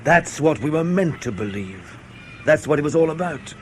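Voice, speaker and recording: An actor playing a sardonic, menacing intelligence man who needles with colloquial, deadpan understatement in a radio drama. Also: a little high, moderate pace, a little hiss or hum, lightly acted. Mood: matter-of-fact. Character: sardonic, menacing male British intelligence interrogator.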